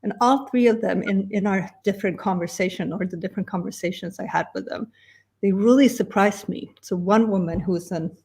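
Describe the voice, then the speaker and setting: A woman from Sweden, talking as a guest on a video call. She speaks at a middling pitch and often restarts her sentences, with soft laughs in between. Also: vocal fry, breathy edge. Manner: halting, many restarts and little laughs. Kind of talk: casual interview chat.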